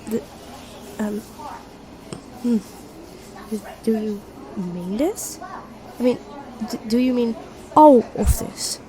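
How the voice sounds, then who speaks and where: A woman playing a sweet, supportive young best friend with a secret crush, speaking gently and earnestly in an intimate audio roleplay. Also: on the high side, lively intonation, slow pace, long pauses, low-quality recording. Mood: flustered, shy. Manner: gentle, earnest, encouraging, conversational with soft hesitations. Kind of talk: intimate roleplay audio acting, one-sided dialogue. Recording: cafe chatter behind the voice. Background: coffee shop crowd ambience.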